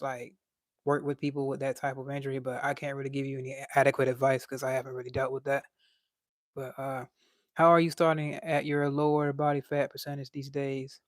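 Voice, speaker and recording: A man's voice: a little high, dry recording, studio quality. A young man with a light voice, chatting casually on a livestream and reading out chat in a laid-back, slangy way.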